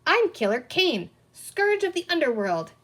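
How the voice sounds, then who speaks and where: Woman voicing a high, theatrical little green man. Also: very animated, a little slow, a bit bright, exaggerated voice. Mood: boastful. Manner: theatrical, exclamatory. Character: small comical green man playing boastful gangster and pirate.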